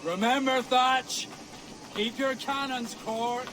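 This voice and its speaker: A man playing a sober, cautious pirate leader in a video game, speaking in an earnest, formal, measured way. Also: very high voice, steady tone. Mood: urgent, stern. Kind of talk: video game dramatic acting.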